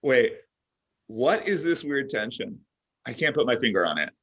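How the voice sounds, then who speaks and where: A man's voice: medium pitch, sing-song, moderate pace, fairly bright tone, breathy edge. A man playing an oblivious, self-absorbed boss, needy and boastful, in a comedy table read, blurting and justifying himself. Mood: oblivious, blunt. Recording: dull lo-fi online call.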